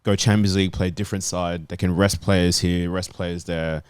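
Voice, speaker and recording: A man's voice: sits low, flat delivery, moderate pace, clean tone, dry recording, studio quality. A man giving casual football analysis on a podcast.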